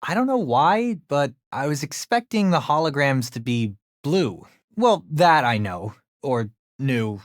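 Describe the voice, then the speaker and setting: A man playing a nerdy, excitable teenage boy in a video game, the hero's tech-savvy best friend, with a casual, wisecracking delivery. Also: mid-range voice, unhurried, dry recording, studio quality. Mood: casual, musing.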